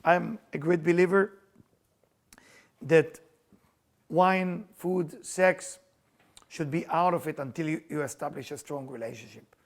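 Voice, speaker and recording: Lively Israeli man with a high, gravelly voice. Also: measured pace, long pauses. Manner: animated, playful, laughs often while telling stories. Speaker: spiritual teacher and podcast host. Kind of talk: casual storytelling chat.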